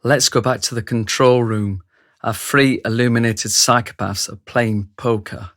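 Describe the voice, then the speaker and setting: An actor playing a brutish ex-soldier who narrates a radio drama, speaking straight to the listener. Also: male voice, medium pitch, fairly even intonation, measured pace, fairly bright tone, no room sound, clean studio sound. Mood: grave, confiding. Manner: grave, confiding, addresses the listener directly. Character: remorseful thick brute ex-soldier, male confessional narrator.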